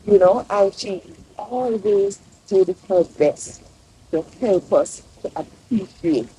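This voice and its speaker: An older woman with a Jamaican accent, a professor talking as a guest in a relaxed outdoor interview, telling stories lively with local phrases. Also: mid-range voice, takes it slowly, slightly rough, rough recording. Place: outdoor courtyard under a large tree.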